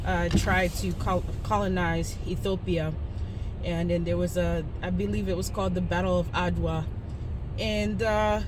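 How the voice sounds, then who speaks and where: A man from Zimbabwe hosting his own channel, chatting casually with many fillers and restarts. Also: high voice. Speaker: channel host. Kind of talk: casual vlog talk. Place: outdoors in winter, on the move. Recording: distant mic with loud street traffic.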